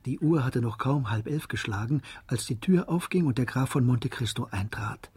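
A man narrating a historical radio drama as a composed storyteller, in a measured, literary manner. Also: mid-range voice, animated, darkish tone, breathy edge.

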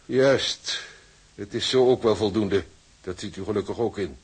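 An actor in a radio drama playing a cold, condescending shipping official who speaks in a formal, officious, mock-polite way. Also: male voice, lowish voice, unhurried, breathy edge. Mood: smug. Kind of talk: Dutch radio drama acting.

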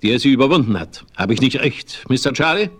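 A man's voice: mid-range voice, lively intonation, brisk, husky. A man playing a crafty, blustering old frontiersman with folksy talk and oaths in a western radio play. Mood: knowing. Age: older.

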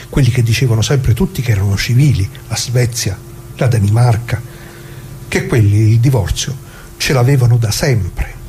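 Casting: a man as a wry, indignant grandpa storyteller, mid-pitched and mellow, colloquial radio theatre, humming noisy recording. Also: natural voice. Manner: folksy, rambling, full of asides and rhetorical questions. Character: talkative working-class grandfather reminiscing, indignant, wry, old leftist.

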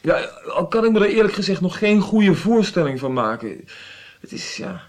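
An actor playing a curious, polite and determined young traveller in a radio drama, speaking earnestly. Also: male voice, high voice, big pitch swings, moderate pace, a little mellow. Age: young adult.

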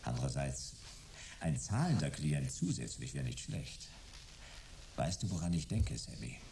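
An actor playing a dry, hard-boiled private detective in a noir radio play, speaking and narrating in terse phrases. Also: male voice, very deep voice, very animated, unhurried, mellow, heavily acted. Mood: terse, determined. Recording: clear background noise.

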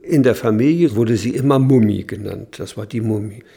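An older man recalling his family in spontaneous interview talk, halting and repeating words, with emphatic bursts. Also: on the low side, creaky, warm tone. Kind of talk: spontaneous reminiscence.

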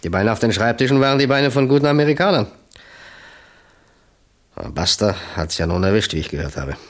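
An actor playing a chummy, boastful small-time gangster boss in a radio crime drama, talkative and jovial. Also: male voice, lowish voice, expressive, a little fast. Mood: nostalgic, chummy.

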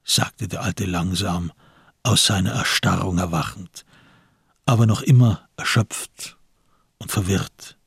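A man with a very husky, breathy, lowish voice narrates a literary crime story for radio. The delivery is scripted storytelling, and the sound is close and dry. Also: takes it slowly, dry recording.

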